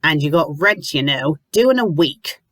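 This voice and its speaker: A woman voicing a brisk, bossy middle-aged landlady who talks firmly and sternly. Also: deep voice, lively intonation, fairly bright tone, no echo, clean studio sound.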